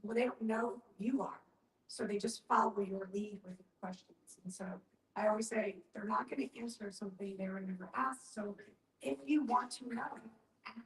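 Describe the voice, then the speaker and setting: A woman's voice: medium pitch, steady tone, moderate pace, distant mic, a little hiss or hum. Woman explaining in an echoey room. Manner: explanatory, often voices example questions aloud. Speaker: panel speaker on end-of-life care. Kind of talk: conversational group discussion.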